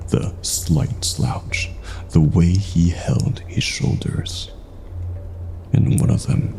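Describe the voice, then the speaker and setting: A man narrating a scripted horror story in a dramatic, suspenseful way with drawn-out pauses. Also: rumbling low, creaky, mellow, a little hiss or hum.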